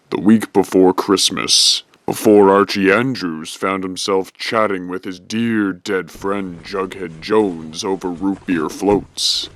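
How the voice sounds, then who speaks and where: Very deep, slow horror narrator, a man acting. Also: a little hiss or hum. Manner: measured, ominous. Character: brooding omniscient storyteller of horror tales.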